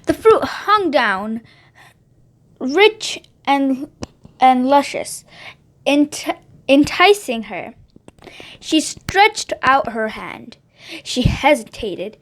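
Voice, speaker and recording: A woman with a high voice reads a Bible story aloud for children. She reads gently and sometimes stumbles and restarts a word. Her tone suits a children's story time. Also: sing-song, extremely slow, long pauses, rough recording.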